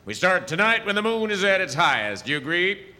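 A man's voice: high-pitched, sing-song, a little fast, pushed and bright, heavily acted. A man playing a wry, crusty older traveling wizard with folksy cowboy ways in a comic stage show. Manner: wry, folksy, hesitant asides. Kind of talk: comic audio theatre acting before an audience. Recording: reverberant live theatre hall.

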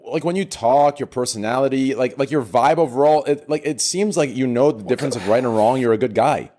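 A man in his late twenties hosting a casual podcast, animated and swearing, full of filler words. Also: medium pitch, brisk. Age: 20s. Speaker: podcast host.

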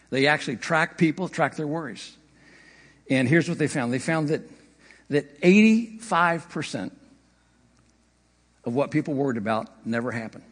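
A man preaching a sermon as pastor, conversational, with occasional slips and light laughter. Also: fairly high pitch. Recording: long hall reverb.

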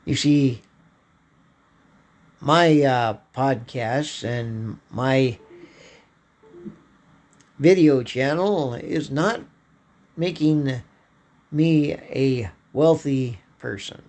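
A man with a hoarse voice, hosting a political commentary podcast in a slow, halting way, often clearing his throat. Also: mid-range voice, a little mellow. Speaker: podcast host.

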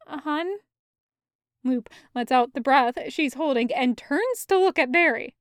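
A woman reading a story aloud as scripted, expressive audiobook narration. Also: high-pitched, moderate pace, clear, resonant tone, dry recording, clean studio sound. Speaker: fan fiction audiobook reader.

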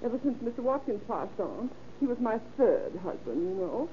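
An actress playing a fussy, prim, flustered genteel widow in an old-time radio drama. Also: female voice, a little high, very animated, normal speed. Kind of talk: 1930s radio drama acting. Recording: tinny, hissy old radio recording.

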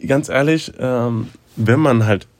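A man's voice: medium pitch, takes it slowly, dry recording. A young man co-hosting a podcast, talking casually and hesitantly with lots of fillers. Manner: hesitant, many fillers, laughs loudly. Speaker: podcast co-host. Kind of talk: casual chat.

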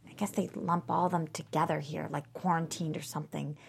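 A woman's voice: sits low, little pitch movement, fairly quick, gravelly, slight background noise. A woman playing a frightened, grieving friend in a dramatic table read, speaking plaintively. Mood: scared, sad.

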